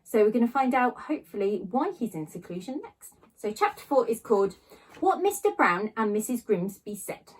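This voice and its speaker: A woman reading a children's novel aloud, narrating in a child's first person with expressive storytelling emphasis. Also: on the high side, moderate pace, husky, rough recording. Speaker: storybook reader.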